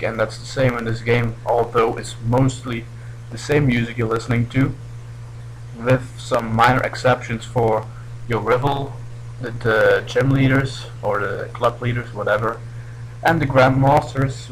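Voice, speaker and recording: A man's voice: mid-range voice, fairly even intonation, unhurried, slight background noise, poor audio. A young man from Belgium, not a native English speaker, giving casual, hesitant let's play commentary. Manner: hesitant, restarts often. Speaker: gameplay commentator.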